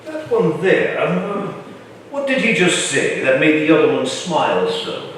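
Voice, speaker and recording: An actor playing an imperious, shrewd older colonial governor with a formal, wry, commanding manner in a staged reading. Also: male voice, fairly high pitch, very animated, a little slow, a bit bright. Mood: suspicious, commanding. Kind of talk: staged reading of a historical play. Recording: echoey room from far off, faint noise.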